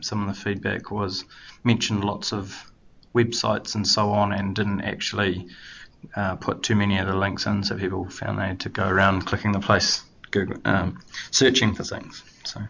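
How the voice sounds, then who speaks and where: Kiwi guy hosting a webinar, flat gravelly voice, lots of ums. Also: on the low side, normal speed. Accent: New Zealand. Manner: casual, relaxed, hesitant with many fillers. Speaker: webinar host. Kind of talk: webinar introduction. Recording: dull lo-fi webinar audio with faint background noise.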